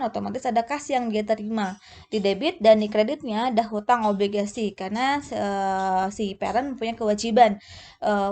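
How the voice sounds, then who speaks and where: A woman giving an informal explanatory lecture as a tutor, going step by step in a somewhat halting, hesitant way. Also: on the high side. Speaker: accounting tutor.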